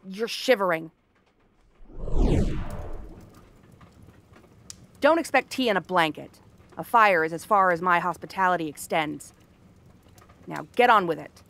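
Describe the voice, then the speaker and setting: A woman's voice: fairly low pitch, pushed and bright, dry recording. A woman playing a cranky, sarcastic exiled princess cursed into a frog girl, in a fantasy audio roleplay. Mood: guarded, grudging, then exasperated. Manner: snappish, sarcastic, tsundere tomboyish bluster. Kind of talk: fantasy ASMR roleplay acting.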